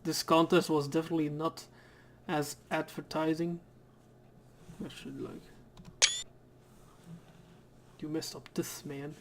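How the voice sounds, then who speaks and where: A man's voice: on the high side, a bit bright, a little hiss or hum. A man whose first language is Dutch, chatting casually over a game he plays and reading its text aloud. He often stumbles and corrects himself. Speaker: let's player.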